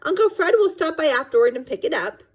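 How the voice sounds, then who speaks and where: A woman's voice: high voice, very animated, quick pace, pitched above the performer's own voice. A woman voicing a mischievous young girl in a storybook, innocent and eager to explain herself. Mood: earnest explaining.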